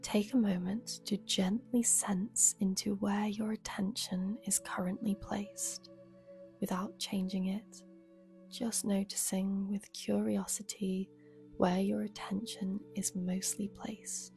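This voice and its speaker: A woman guiding a meditation as a yoga nidra teacher, speaking softly and soothingly with a breathy, unhurried delivery. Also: mid-range voice, level tone, faint music behind. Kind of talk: guided meditation.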